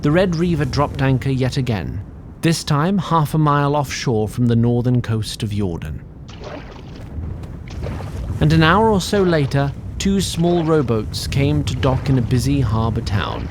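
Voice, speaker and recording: A man as a wry, very animated fantasy storyteller. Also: medium pitch, unhurried, background noise, lightly acted. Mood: measured, wry. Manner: formal, wry, prone to asides. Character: chatty, digressive, fussy male tree sprite storyteller. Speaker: narrator. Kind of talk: theatrical scripted fantasy narration.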